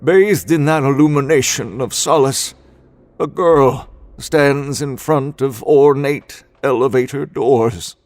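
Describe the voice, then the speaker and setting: Slow, measured male horror narrator. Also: mid-range voice, a little mellow, dry recording. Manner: measured, dramatic, deliberate. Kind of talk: scripted first-person horror narration. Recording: music bed underneath.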